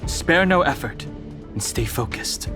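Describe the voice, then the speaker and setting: A man's voice: medium pitch, expressive, measured pace, music in the background, natural voice. A man voicing a cool, level-headed young guard in a video game, terse and matter-of-fact. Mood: stern.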